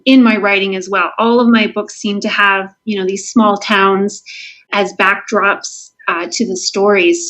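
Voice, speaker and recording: A Canadian woman speaks as a guest author in an interview. Her voice sits at an ordinary pitch for a woman. She answers conversationally, with an earnest enthusiasm for her craft. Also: a bit bright, poor audio. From Southern Ontario, Canada.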